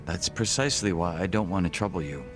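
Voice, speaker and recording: An actor playing a composed, formal gangster second-in-command in a video game cutscene, measured and reserved. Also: male voice, deep voice, over music, natural voice.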